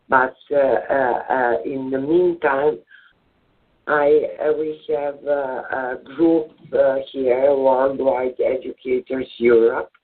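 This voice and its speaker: A man with a Serbian accent, a native Serbian speaker, answering as a guest in a call-in radio interview, hesitant with many filler sounds. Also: mid-range voice. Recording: tinny phone-line audio.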